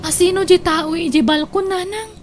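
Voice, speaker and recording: A woman with an Ilocano accent playing a coy, soft-hearted young daughter being courted in a radio drama. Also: very high voice, fairly even intonation, moderate pace, over music. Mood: questioning. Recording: clear background noise.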